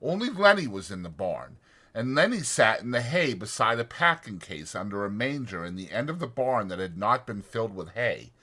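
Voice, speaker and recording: Bright, expressive male audiobook narrator. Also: lowish voice, normal speed. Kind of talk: dramatic scripted storytelling narration.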